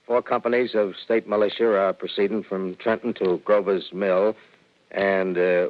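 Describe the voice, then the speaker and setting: A stiff militia general, voiced by a man, reads an official order with some hesitations in a 1930s radio play. His voice sits low, and it comes through thin and narrow, like a telephone line. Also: close to an everyday voice. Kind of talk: 1930s radio drama acting.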